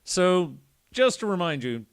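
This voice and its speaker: A man's voice: high voice, very animated, unhurried, smooth. A man hosting a podcast, reading a story aloud and breaking off for casual, irreverent, sweary asides. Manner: irreverent, profane. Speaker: podcast host.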